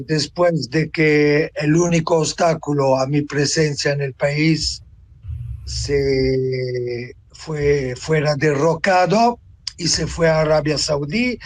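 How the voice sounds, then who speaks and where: A man in his seventies from Tunisia, giving a reflective personal account as an interview guest, deliberate and pausing to find words. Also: a little high, fairly even intonation. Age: 70s. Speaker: interview guest, author and translator.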